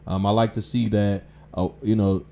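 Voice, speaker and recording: A man's voice: fairly low pitch, level tone, normal speed, vocal fry, warm tone, slight background noise. A man hosting a casual podcast interview, easygoing and full of "okay" and "um". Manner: easygoing, lots of "okay" and "um" backchannels. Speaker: podcast host.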